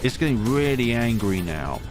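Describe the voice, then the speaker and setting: Man, theatrical visual novel narration, casual asides, clear background music. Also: mid-range voice, very animated, normal speed. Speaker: let's player reading a visual novel aloud. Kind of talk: dramatic narration with casual commentary. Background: game music behind the voice.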